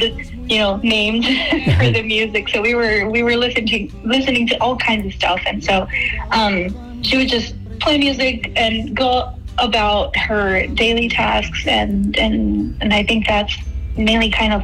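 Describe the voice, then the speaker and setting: A woman's voice: a little high, steady tone, a little slow, a bit bright, faint music behind, rough recording. Chatty young woman from El Salvador. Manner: chatty, many fillers and restarts. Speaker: guest musician. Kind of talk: casual interview answers.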